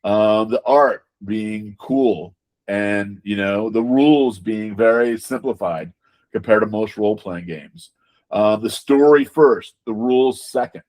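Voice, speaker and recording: A man's voice: fairly low pitch, slow pace, a little mellow. Emphatic man in conversational interview. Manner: emphatic, repeats words and phrases; frequent rhetorical checking questions. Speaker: interviewed tabletop game designer.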